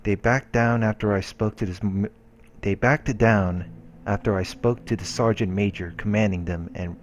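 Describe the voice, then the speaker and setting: A man hosting a let's play, reading visual novel narration aloud in a measured way. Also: on the low side, steady tone. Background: game background music.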